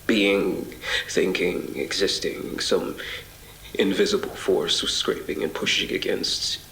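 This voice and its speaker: A man acting in an audio drama as a shaken, apologetic survivor, haltingly recounting an otherworldly ordeal in a hushed, reflective monologue. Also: deep voice, gravelly, slight background noise, full character voice. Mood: pained, struggling. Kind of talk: intimate first-person audio drama monologue. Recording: roomy echo with music bed. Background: music.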